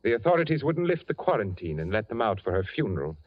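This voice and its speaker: A genteel, uneasy widowed father, lower-voiced, very lively pitch, dull old radio sound. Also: fast talker. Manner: genteel, nervously insistent. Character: eccentric rich widowed father, smooth and uneasy. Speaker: radio drama actor. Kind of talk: 1940s radio acting. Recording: dull lo-fi 1940s radio broadcast.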